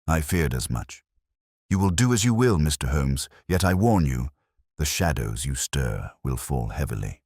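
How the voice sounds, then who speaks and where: A man voicing a grey-haired, cautious senior government official in an audiobook, measured, careful and formal. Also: rumbling low, very animated, a little mellow, slightly rough, dry recording, clean studio sound, exaggerated voice. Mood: grave warning.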